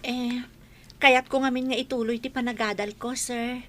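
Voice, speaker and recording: A woman as high-voiced, timid young cleaner. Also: fairly even intonation, normal speed, a bit bright, natural voice. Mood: pleading, shy. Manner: timid, deferential. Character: meek, polite young working-student cleaning woman. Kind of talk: Ilocano comedy radio drama acting.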